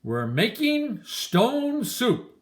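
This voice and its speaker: A woman voicing a hungry, showman-like traveler in a storybook, proclaiming in a drawn-out way. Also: a little high, wildly swooping pitch, voice pushed up, exaggerated voice. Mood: grand, showy. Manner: loud, slow, drawn-out proclaiming. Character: two hungry, hearty, showman-like travelers selling magical soup.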